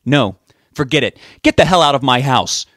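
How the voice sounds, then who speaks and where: A man's voice: mid-range voice, lively intonation, moderate pace, no room sound, studio quality, pitched above the performer's own voice. A man acting an outraged, hot-tempered older husband. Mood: indignant, angry. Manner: yelling, indignant, adamant.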